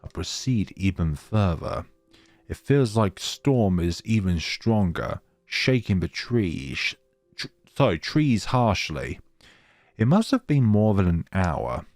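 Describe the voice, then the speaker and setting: A man's voice: sits low, sing-song, takes it slowly, darkish tone, dry recording. A man hosting a gaming let's play, reading story text aloud dramatically and dropping in casual asides. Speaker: gaming channel host.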